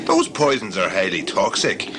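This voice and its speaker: An actor playing a gruff, world-weary jungle guide, terse, blunt and cynical, in a sci-fi audio drama. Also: male voice, on the low side, huge pitch swings, normal speed. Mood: brisk, blunt. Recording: noisy amateur recording with background ambience.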